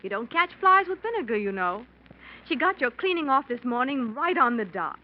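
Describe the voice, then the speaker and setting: An actress playing an affectionate, chatty, well-bred wife in an old radio mystery. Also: female voice, high-pitched, big pitch swings, moderate pace. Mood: fond, chatty. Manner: fond, chatty. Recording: muffled, hissy old radio recording.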